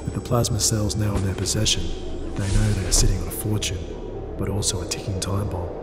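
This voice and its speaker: A man reading scripted cinematic sci-fi narration in a solemn, measured manner. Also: fairly low pitch, little pitch movement, reverberant, big-room sound, over loud music.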